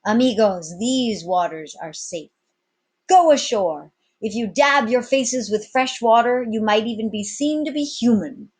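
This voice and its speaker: A storybook pirate captain, acted out by a woman reader, addresses his crew like an orator. The speech is florid and commanding, pitched up and projected with grand self-assurance. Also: animated, unhurried, darkish tone, breathy edge. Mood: rousing, mocking.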